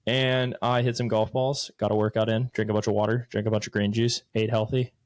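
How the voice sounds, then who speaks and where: A man's voice: lowish voice, level tone, fairly quick. Loose, swearing late-20s guy. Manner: loose, profane, rambling storytelling. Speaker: podcast co-host. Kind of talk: casual chat.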